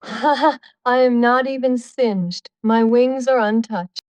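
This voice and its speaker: A woman performs a quick-witted, flirtatious duchess in a period audiobook. Her voice is on the high side and recorded close and dry. She speaks with playful, arch poise. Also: no echo. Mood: playful, coy. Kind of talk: dramatised audiobook dialogue.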